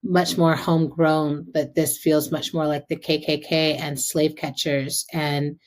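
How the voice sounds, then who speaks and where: A woman's voice: deep voice, level tone, unhurried. An American woman hosting a conversational interview, speaking informally and reflectively as she thinks aloud. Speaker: podcast host and interviewer.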